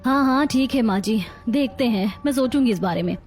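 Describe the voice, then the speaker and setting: Generous young businesswoman played by a woman, contented, high-pitched, family moral-story drama. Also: expressive, a little fast, a little mellow, soft background music, close to an everyday voice. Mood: agreeable. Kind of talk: acted Hindi family story dialogue.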